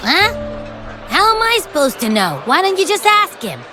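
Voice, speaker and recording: A woman's voice: extremely high pitch, wildly swooping pitch, normal speed, loud music behind the voice, heavily acted. A woman voicing a small, childlike creature in a video game, sassy, dramatic and easily indignant. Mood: cheeky, exasperated. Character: small, sassy echo creature, childlike, sleepy, hungry and excitable.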